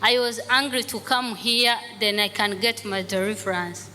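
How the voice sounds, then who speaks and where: Bright-voiced woman interpreting in halting English. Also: mid-range voice. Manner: halting, simple broken English. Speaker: interpreter for her husband. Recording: echoey hall with faint noise.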